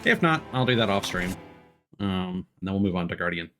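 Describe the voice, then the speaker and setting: A man's voice: sits low, animated, fairly quick, bright, forceful tone, faint music behind. A man giving casual live gameplay commentary as a streamer, thinking aloud as he muses through tactics. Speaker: video game streamer.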